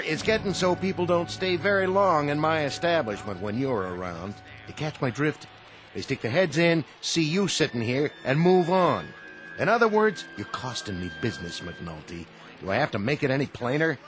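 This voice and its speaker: An actor in a radio drama playing a gruff, sardonic, fed-up bartender with a blunt way of talking. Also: male voice, medium pitch, big pitch swings, moderate pace, a bit bright, faint music behind. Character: gruff, fed-up male bartender who owns the bar.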